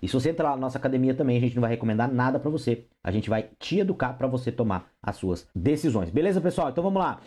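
A hoarse man with a Brazilian Portuguese accent giving casual market commentary on the radio, talking informally to his listeners with lots of fillers. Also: medium pitch, warm tone. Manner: informal, repeats fillers, addresses listeners as "pessoal".

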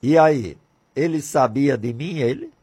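A man's voice: mid-range voice, a little slow, dark tone, husky. A man in his sixties from Brazil, talking on religious radio in a fervent, repetitive way with many rhetorical questions. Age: 60s. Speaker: radio presenter.

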